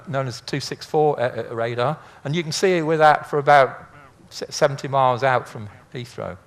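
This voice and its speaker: An older man giving an informal illustrated lecture in a chatty, rambling, anecdotal way with dry humour. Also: mid-range voice. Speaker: speaker, former air traffic controller.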